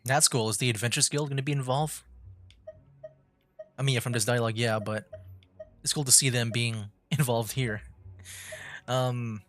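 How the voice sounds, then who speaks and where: Very low-pitched woman streamer, dry delivery. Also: steady tone, moderate pace, long pauses, no echo. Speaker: livestreamer playing a game. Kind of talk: casual gameplay commentary. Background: game music.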